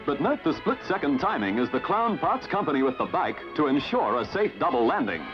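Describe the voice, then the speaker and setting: A band-limited vintage newsreel soundtrack with prominent music carries an Australian man's narration. His voice sits fairly high and swings widely in pitch. He delivers scripted 1950s commentary like a jaunty, theatrical announcer. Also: big pitch swings, brisk, muffled recording.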